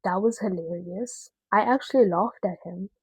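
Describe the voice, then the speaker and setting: A woman giving a casual, chatty video review in an expressive, slangy way with emphatic repetition. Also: mid-range voice, moderate pace, breathy edge, no room sound, poor audio.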